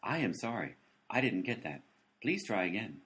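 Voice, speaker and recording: A glitchy talking phone assistant, voiced by a man, reads out stiff canned prompts and misunderstands everything. Its low voice is very husky and rough, and it swings up and down in a lively, singsong way. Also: moderate pace, full character voice. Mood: robotic. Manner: flat scripted prompts, mishears every command. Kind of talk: comedy radio play acting.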